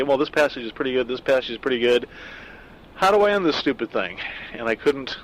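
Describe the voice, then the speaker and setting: A middle-aged man talks over a story he wrote in a loose, reflective way, pausing on fillers as he thinks aloud. His voice sits at an ordinary middle pitch, with a faint rumble of traffic behind him. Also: low-quality recording. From Southern California. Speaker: author discussing his own story. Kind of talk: casual spoken afterword.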